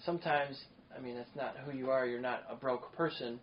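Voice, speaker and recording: A man's voice: mid-range voice, a little fast. Chatty, enthusiastic male podcast host. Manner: talkative, enthusiastic, rambling with fillers. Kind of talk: casual interview chat. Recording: dull lo-fi sound, faint noise.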